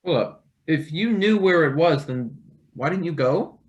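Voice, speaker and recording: Man playing a nervous, higher-voiced young intern. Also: expressive, a little slow, lo-fi sound. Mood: hesitant, cheeky. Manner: hesitant, awkward. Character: nervous but cheeky young male intern, new worker. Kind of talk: live table read of a satirical play.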